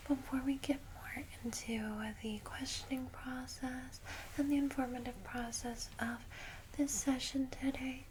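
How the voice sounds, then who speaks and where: A woman whispers softly and gently in an ASMR roleplay as a kindly, reassuring vampire secretary guiding a newcomer. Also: sits high. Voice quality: soft breathy whisper. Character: kindly vampire secretary welcoming a newly turned vampire. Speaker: ASMR roleplay creator playing a guide to new vampires. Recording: close whisper with clear background noise.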